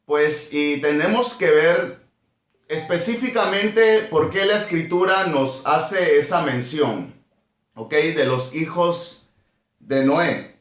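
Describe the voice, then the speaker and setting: A Peruvian man with a slightly high voice, recorded in an echoey room. He teaches scripture in a didactic way, reading verses and then explaining them. He often repeats his phrases and puts questions to his listeners. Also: takes it slowly, fairly bright tone. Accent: Peruvian Spanish. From Peru. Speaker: Bible teacher.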